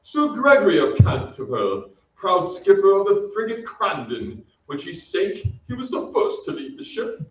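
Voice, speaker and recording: A man's voice: high voice, huge pitch swings, moderate pace. A man playing a mournful old English ghost nobleman in a theatrical, archaic, old-time radio drama style. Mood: lofty, reluctant. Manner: theatrical, archaic and lofty speech. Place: theatre stage, heard from off. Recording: distant echoey stage, dull with noise.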